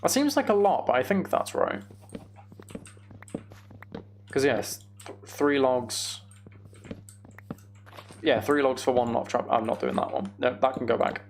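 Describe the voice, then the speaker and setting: A man's voice: medium pitch, lively intonation, unhurried, vocal fry, pauses often. A man streaming a video game, chatting casually and thinking aloud in a rambling way.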